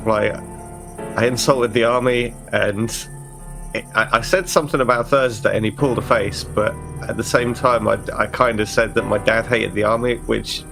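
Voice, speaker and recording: Casting: man as awkward worried boy in tabletop roleplay, stammering, low creaky voice, music behind. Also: fairly even intonation, moderate pace, poor audio. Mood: guilty, flustered. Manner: stammering, repeating words. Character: anxious guilty awkward boy, son of army fan.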